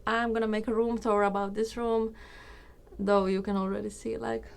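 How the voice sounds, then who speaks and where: A woman's voice: mid-range voice, flat delivery, moderate pace, creaky, clean tone. A young woman in her twenties from Finland, with a Finnish accent, telling a personal story casually as a vlogger. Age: 20s. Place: small room indoors.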